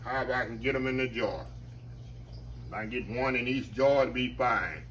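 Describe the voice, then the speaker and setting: A man, chatting to viewers as he cooks in his home kitchen, his voice slightly hoarse. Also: medium pitch, normal speed, fairly bright tone, slight background noise. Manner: chatty, keeps addressing viewers as y'all. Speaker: home cook. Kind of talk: casual cooking demonstration.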